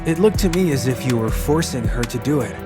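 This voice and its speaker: A man's voice: mid-range voice, animated, fairly quick, music in the background, lightly acted. An actor voicing a cool, stern young male CEO in a manga dub, blunt and commanding in manner.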